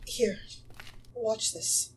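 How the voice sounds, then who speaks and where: A woman's voice: drawn-out words, far from the mic, a little hiss or hum, low-quality recording. A woman acting an anxious, pleading young mother haunted by a ghost in an audio drama. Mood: urgent, pleading.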